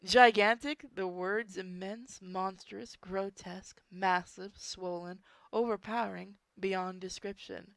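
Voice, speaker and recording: A woman reads a dark story aloud at an unhurried pace, with a medium-pitched voice in a dry recording. She is informal about it, stumbling on words and slipping into blunt casual asides. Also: fairly even intonation, dry recording. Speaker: story reader. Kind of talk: amateur dramatic reading of a horror story with joking asides.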